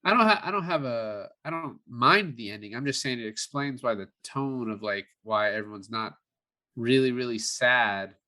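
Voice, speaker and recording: A man's voice: mid-range voice, expressive, moderate pace, bright, forceful tone. A man co-hosting a movie review podcast, chatting casually about films. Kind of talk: casual film discussion.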